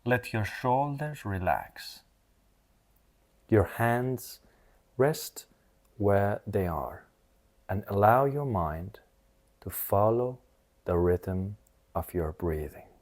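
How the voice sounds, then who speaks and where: A man with a fairly low voice guides a meditation. He speaks extremely slowly, in short soothing phrases. Long, measured pauses separate each instruction. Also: expressive, a little mellow.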